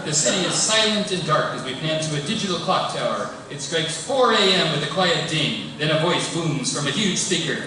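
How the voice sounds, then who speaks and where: A man's voice: a little high, lively intonation, a little fast, few pauses, bright, forceful tone. A man reading scripted stage directions as narrator of a live comedy table read. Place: indoor live event before an audience. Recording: echoey hall, distant mic, clear noise.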